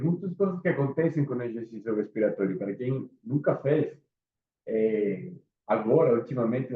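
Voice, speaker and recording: Chilean man lecturing in an echoey room. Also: medium pitch. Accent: Chilean Spanish. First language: Spanish. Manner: casual, engaging, asks the audience questions. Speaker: instructor. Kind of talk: live lecture to a small group. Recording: echoey room, phone-like sound.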